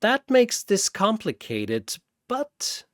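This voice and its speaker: A cold young woman spirit medium voiced by a male narrator. She is blunt and clinical, speaking slowly in a fantasy battle story with a very dry sound. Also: sits high, dry recording, voice pushed up, full character voice. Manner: flat, clinical.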